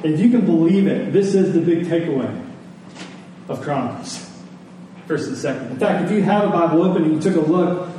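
A man's voice: a little high, a little fast, dark tone. A man preaching a sermon to a congregation, using rhetorical questions and repeated phrases for emphasis. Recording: very echoey room, distant mic, faint noise.

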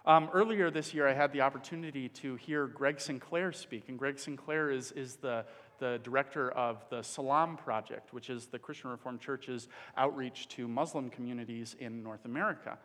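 A man from the Dominican Republic preaching a sermon in an earnest, measured way, pausing with fillers. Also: mid-range voice, a bit bright. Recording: long hall reverb.

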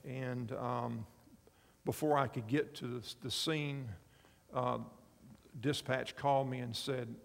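A man's voice: medium pitch, steady tone, slow pace, creaky, long reverb tail. A man in his fifties preaching a sermon, telling a story earnestly and drawing the congregation in. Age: 50s. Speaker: pastor and chaplain.

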